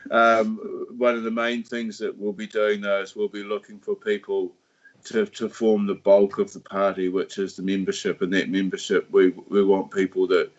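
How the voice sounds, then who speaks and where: A man's voice: lowish voice, level tone. A man with a New Zealand accent, talking casually on a livestream about his party, with lots of restarts and fillers. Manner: halting, many restarts and fillers. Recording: dull lo-fi stream audio.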